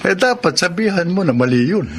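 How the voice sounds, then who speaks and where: A man's voice: high-pitched, big pitch swings, a little fast, a little hiss or hum. A man with a Filipino accent acting a principled, thrifty seaman and devoted father in a radio drama. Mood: concerned.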